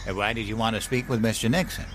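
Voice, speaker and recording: A man's voice: lowish voice, steady tone, fast, background noise, lightly acted. A man playing a naive, childlike US president in an audio comedy, hesitant, rambling and eager. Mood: polite, hesitant. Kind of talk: comedic audio drama acting.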